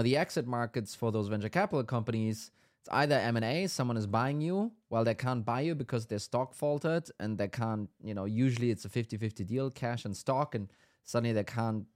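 A man's voice: medium pitch, expressive, moderate pace. A man hosting a casual business podcast, explaining things at length with many ums and restarts; his first language is Danish. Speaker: podcast host.

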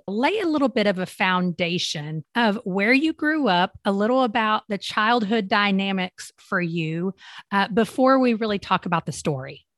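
A woman's voice: medium pitch, a bit bright, no room sound, clean studio sound. Woman hosting a faith podcast. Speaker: podcast host. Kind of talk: podcast interview and scripted intro.

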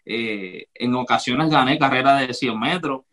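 A man hosting a podcast, telling a childhood story in a relaxed, casual way and laughing easily. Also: mid-range voice, a little slow. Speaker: podcast host. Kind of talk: casual conversation.

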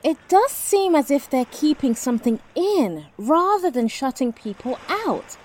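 Very high-voiced, earnest, prim sleuth. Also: female voice, very animated, measured pace, a little mellow. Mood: curious. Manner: polite, quaint, earnest. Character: earnest, eccentric, prim female amateur sleuth with magical senses. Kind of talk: audio drama acting.

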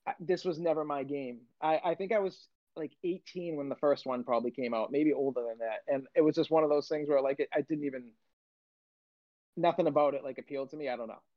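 A man in his late thirties chatting on a podcast, animated and self-mocking, often tripping over his words. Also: on the high side, quick pace, no room sound. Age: 30s. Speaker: podcast co-host. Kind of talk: casual chat. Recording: slightly muffled, dry sound.

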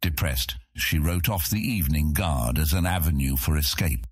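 A man with a husky voice reading scripted fantasy narration as an audiobook narrator. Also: a bass voice, moderate pace, no room sound, clean studio sound.

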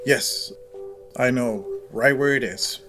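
A man's voice: medium pitch, slow pace, music in the background, natural voice. An actor playing a plainspoken, obliging male farmer in a naturalistic audio drama.

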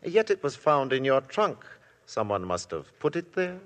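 An actor playing a sharp male Crown prosecutor in a 1950s radio drama, probing and sarcastic in his questioning. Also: mid-range voice, sing-song, moderate pace, lightly acted. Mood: cross-examining. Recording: vintage radio broadcast, long hall reverb.

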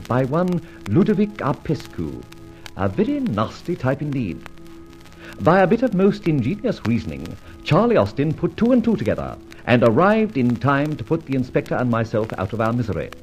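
An actor playing a suave, teasing gentleman adventurer in an old radio serial, with urbane, theatrical diction. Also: mid-range voice, wildly swooping pitch, a little fast, soft background music, rough recording. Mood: wry, composed. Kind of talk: old radio serial acting, with first-person narration.

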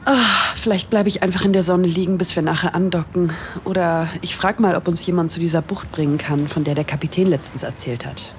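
A woman's voice: on the low side, fairly quick, slight background noise, close to an everyday voice. A woman playing a cheeky young best friend in an audio drama, casual, playful and teasing in her dialogue. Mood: casual, coaxing.

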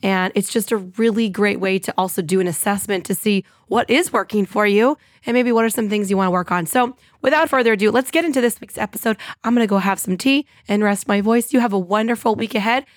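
A woman hosting her own podcast in an upbeat, chatty monologue, her voice a little scratchy and hoarse. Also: mid-range voice, fairly quick, hardly any pauses, dry recording, clean studio sound. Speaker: podcast host.

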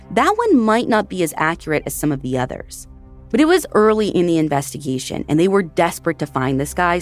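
A woman hosting a true crime podcast, narrating the case in a conversational way and speaking directly to her listeners. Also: fairly low pitch. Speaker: podcast host.

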